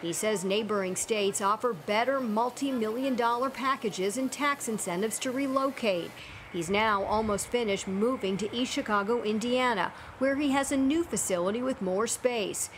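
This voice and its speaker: A woman hosting a news program, narrating a report and then interviewing studio guests in an even, measured way. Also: medium pitch, slight background noise. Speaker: news show host.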